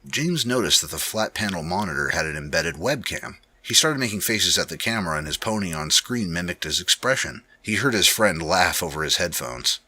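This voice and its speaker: A man's voice: low voice, bright, forceful tone, slightly rough, dry recording. A man giving a dramatic, scripted narration of a story. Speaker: narrator of fan fiction readings.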